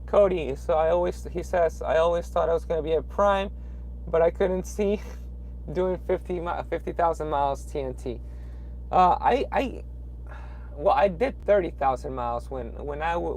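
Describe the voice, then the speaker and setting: Young peruvian guy rambling on a late night livestream from his truck cab, kinda high voice, faint engine hum. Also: normal speed, darkish tone. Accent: Peruvian Spanish. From Peru. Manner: rambling, hesitant, with frequent fillers and restarts. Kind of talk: casual livestream chat reading viewer comments.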